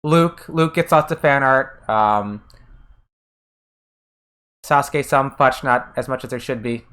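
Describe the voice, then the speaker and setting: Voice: medium pitch, slow, a bit bright. An American game streamer chatting casually while playing, often trailing off and restarting sentences while answering chat. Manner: answers chat messages between gameplay.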